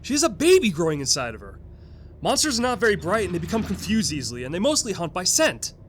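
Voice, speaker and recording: An actor playing a folksy, earnest retired uncle in an audio sketch comedy. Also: male voice, high voice, wildly swooping pitch, fast talker, a bit bright, a little hiss or hum, full character voice. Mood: earnest explaining. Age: older. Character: retired fatherly uncle, earnest and matter-of-fact about monsters.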